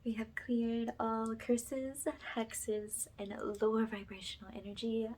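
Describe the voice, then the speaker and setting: A woman speaking softly and breathily, often slipping into a whisper, as she gives slow, soothing, halting guidance in an ASMR energy healing style. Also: on the high side, little pitch movement, rough recording.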